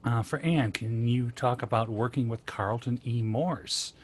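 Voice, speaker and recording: A man's voice: medium pitch, unhurried, husky. An American man moderating a panel, casually chatting and reading out audience questions.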